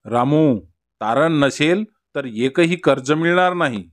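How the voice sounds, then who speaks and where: A man's voice: fairly high pitch, animated, slow. A man playing a stern, grasping village moneylender in a cartoon story, with a blunt, unyielding manner. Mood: hard bargaining. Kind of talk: scripted cartoon story dialogue.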